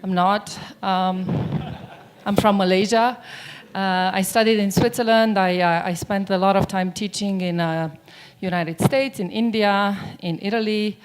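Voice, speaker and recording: A woman's voice: mid-range voice, fairly even intonation, measured pace, clean tone. A middle-aged woman from Romania giving a conference keynote on stage, chatty and often asking the audience questions. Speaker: keynote speaker. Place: stage of a large conference hall. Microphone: mic on stage.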